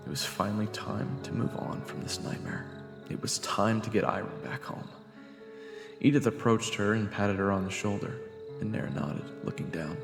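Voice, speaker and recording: An actor playing a weary man recounting his traumatic fantasy adventure in a deliberate, somber way. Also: on the low side, vocal fry. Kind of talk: dramatic first-person story narration. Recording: echoey hall reverb, music behind.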